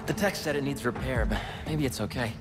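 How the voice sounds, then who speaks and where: Casting: male actor as careful, eager young lab partner, average-pitched voice, earnest, video game drama, heavy music underneath. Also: brisk, lightly acted. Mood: focused, careful. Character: eager, cautious young male scientist friend. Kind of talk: naturalistic video game voice acting.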